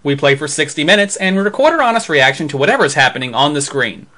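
A man's voice: fairly high pitch, animated, fast, bright, forceful tone. A man co-hosting a video game show with casual, joking commentary.

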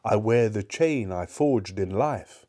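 A man's voice: fairly low pitch, expressive, slow pace, dark tone. A man voicing the solemn, mournful ghost of a dead businessman in a storybook reading. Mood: woeful. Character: grave, doomed male ghost of a dead businessman.

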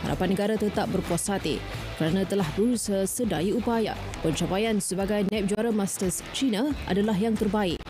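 A woman's voice: mid-range voice, fairly quick. Formal young woman reading sports news. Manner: steady, formal delivery. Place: TV news studio. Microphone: studio mic. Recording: news music bed underneath.

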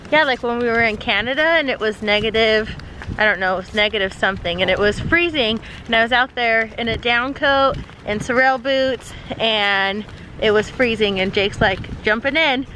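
A bubbly young woman with a slightly high voice, chatting and giggling as she films herself outdoors on a handheld camera. Her talk is playful and teasing. Steady outdoor noise, with wind and surf, sits under her voice. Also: moderate pace, poor audio. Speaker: vlogger. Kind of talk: casual vlog chat. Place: outdoors at a beach. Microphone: handheld camera at arm's length.

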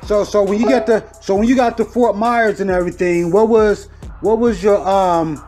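A man's voice: high-pitched, fairly even intonation, moderate pace, warm tone, faint music behind. A man hosting a casual interview over a remote call, talking loosely and filling gaps with repeated "okay, okay" and "I got you.". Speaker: interview host.

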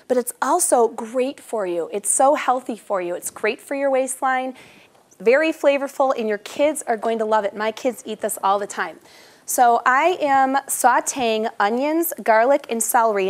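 A woman in her thirties giving an upbeat, chatty cooking demo straight to the viewer in a large home kitchen. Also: a little high. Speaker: cooking instructor. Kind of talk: cooking show audition demo.

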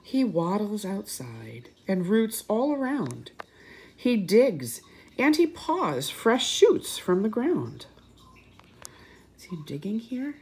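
A woman reading a picture book aloud expressively for young children, with pauses, asides and questions to her listeners. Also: mid-range voice, very animated, darkish tone, slightly rough. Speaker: children's story reader and teacher.

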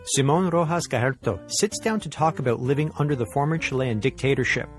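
A man in the middle of his range hosting a podcast. He speaks in a measured, clear announcer manner, with a faint music bed beneath him. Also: mid-range voice. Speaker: podcast host. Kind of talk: scripted intro then interview questions.

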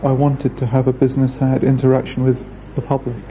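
A man, speaking thoughtfully in a documentary interview with measured pauses. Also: medium pitch, completely flat delivery, warm tone, rough recording. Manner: measured, thoughtful, hesitant fillers. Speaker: restaurant founder. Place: indoor room.

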